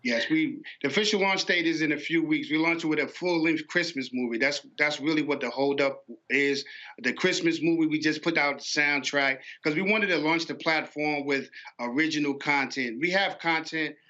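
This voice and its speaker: Guy getting interviewed, bright punchy voice, audio kinda muffled. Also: fairly high pitch, normal speed. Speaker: animation entrepreneur, interview guest. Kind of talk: explanatory interview answers.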